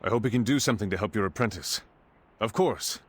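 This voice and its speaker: An actor playing an earnest, dutiful palace official in a video game, speaking formally and gravely. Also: male voice, lowish voice, quick pace, close to an everyday voice. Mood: impressed. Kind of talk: video game cutscene voice acting. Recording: music behind the voice.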